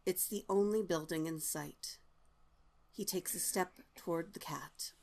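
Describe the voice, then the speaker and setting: A woman's voice: lowish voice, measured pace, creaky, a little mellow. A woman narrating stage directions from a script at a table read.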